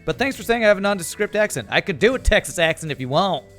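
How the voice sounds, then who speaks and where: A man's voice: high voice, fast. A young man from California with an American accent, chatting casually with his viewers while he plays a game and reads their comments aloud. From California, USA. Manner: relaxed, chatty, reads chat aloud and answers. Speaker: streamer. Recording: faint music behind.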